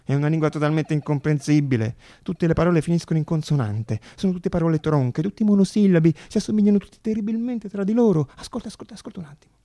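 An actor playing an earnest, anxious young student inventor in a theatrical radio monologue, intense and halting, repeating words. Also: male voice, fairly high pitch, mellow, no room sound, studio quality, lightly acted. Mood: excited, resolute.

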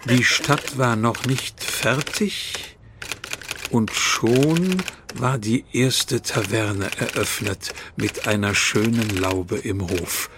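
An actor playing an unhurried narrator of a medieval tale in a radio play. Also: male voice, medium pitch, noisy background. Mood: measured.

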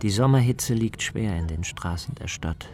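A man's voice: fairly low pitch, normal speed. A man, narrating a scripted, atmospheric radio documentary as its reporter.

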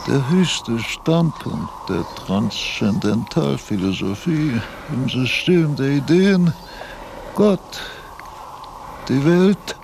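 A frail old thinker in a radio play, dragging slowly through his thoughts. The voice is fairly high for a man and gravelly, breaking into halting fragments over clearly noisy ambience. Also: exaggerated voice. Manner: halting, broken phrases with long pauses. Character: frail aged philosopher, laboriously dictating his abstract thoughts. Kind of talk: slow, halting radio-drama monologue.

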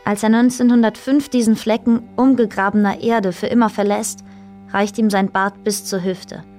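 A woman's voice: medium pitch, steady tone, moderate pace, dark tone, soft background music, close to an everyday voice. A woman reading scripted literary narration as the storyteller of a radio play. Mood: measured storytelling. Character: female storyteller narrating island histories.

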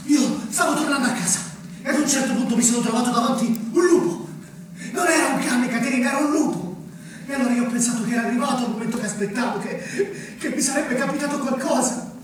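An actor playing a defiant, guilt-ridden man haunted by an old crime, telling it slowly and deliberately in a theatrical stage style. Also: very high-pitched. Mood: haunted, confessional. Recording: echoey, noisy theatre recording from far off.

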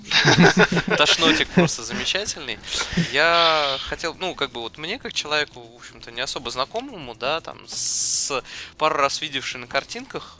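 A man chatting casually as a podcast co-host, with a hesitant, halting delivery. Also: fairly high pitch. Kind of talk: casual chat.

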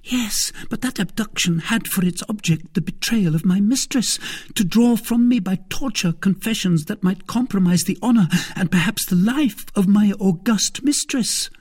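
A man voicing an indignant, sharp-tongued young wife in a period novel, scolding and haughty in an exclamatory way. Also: high voice, normal speed, slightly rough, voice pushed up.

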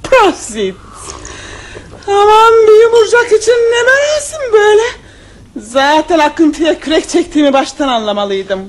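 A woman's voice: very high-pitched, expressive, moderate pace. A coy, scheming young woman. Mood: scornful. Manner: coy, teasing and mocking, with lilting laughs. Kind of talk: 1960s radio drama acting.

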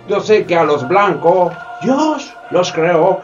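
A greedy, hypocritical sea captain. The voice is high and swoops up and down, taking its time with stammers and theatrical flourishes. It is a comic radio-play villain over music. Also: male voice, big pitch swings, slow, muffled recording, full character voice. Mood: smug boasting. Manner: theatrical, stammering, peppers speech with English words. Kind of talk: community radio theatre acting. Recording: music bed behind the voice.

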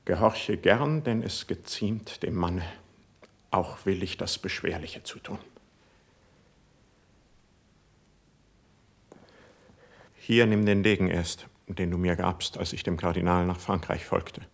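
Gravelly, husky young nobleman. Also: low voice, steady tone, full character voice. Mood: solemn, wounded. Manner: solemn, declamatory, drawn-out emphatic phrasing. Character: proud, deeply wounded young nobleman resigned to arrest. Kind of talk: classical verse stage acting for radio.

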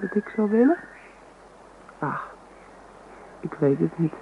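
A woman's voice: lowish voice, sing-song, slow. Casting: hesitant young woman in a radio play, earnest, bashful, dull recording with faint noise. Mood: shy, curious. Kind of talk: Dutch radio drama dialogue.

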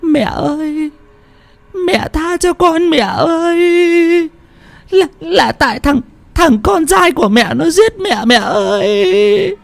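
A woman's voice: very high voice, lively intonation, slow, gravelly, heavily acted. A woman playing a terrified village wife who cries and stammers desperate pleas to a ghost. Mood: pleading. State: crying.

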